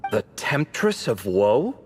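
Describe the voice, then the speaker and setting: A man voicing a sarcastic, well-read young male scholar in a game, expressive in his delivery. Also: mid-range voice, takes it slowly, slight background noise. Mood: thoughtful.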